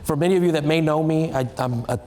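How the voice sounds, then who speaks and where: A man's voice: fairly high pitch, darkish tone. Man moderating a panel in a hall. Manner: self-described storyteller, talks in halting restarts. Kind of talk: speaking on stage at an event. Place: large hall with a live audience. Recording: reverberant hall, faint noise.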